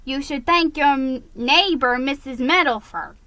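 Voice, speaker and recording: A woman voicing a scowling storybook fire mouse who scolds in a stern, forceful manner. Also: high-pitched, a little slow, no room sound. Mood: gruff advice.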